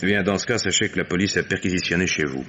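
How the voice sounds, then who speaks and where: An actor playing a shrewd, self-assured investigator in an old French radio mystery, with a measured, probing, faintly ironic delivery. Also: male voice, deep voice, sharp tone. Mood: grave, probing. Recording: dull, hissy old radio recording.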